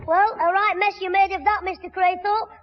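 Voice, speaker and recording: A man's voice: very high voice, flat delivery, normal speed. A man playing a cheeky, wisecracking young schoolboy in a 1960s radio sitcom. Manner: sarcastic wisecracking. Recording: muffled, noisy old radio recording.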